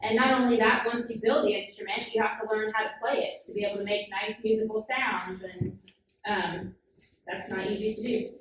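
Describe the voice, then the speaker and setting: A woman's voice: medium pitch, fairly even intonation, fairly quick. A woman teaching a children's Bible class, asking the kids questions patiently with frequent "um" fillers. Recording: very echoey room, far from the mic, noisy.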